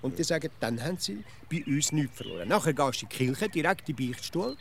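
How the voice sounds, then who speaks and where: An actor playing an absurdly logical, nagging everyday philosopher in a comic radio play, pedantic and insistent, with a Swiss accent. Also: male voice, fairly high pitch, lively intonation, fast, vocal fry, a bit bright, a little hiss or hum. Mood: smugly explaining.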